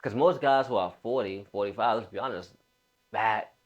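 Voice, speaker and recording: Low-voiced, emphatic young man. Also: moderate pace, highs cut off. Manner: emphatic, repeats phrases, informal bro slang. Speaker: fitness coach. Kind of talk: casual motivational talk to camera.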